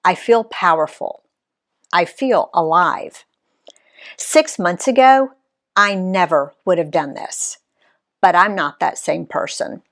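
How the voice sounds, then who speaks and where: A woman reading her own travel story aloud in an expressive read-aloud style, giving the quoted people voices of their own. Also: fairly low pitch. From Alabama, USA. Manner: unhurried, lively reading that voices the quoted characters.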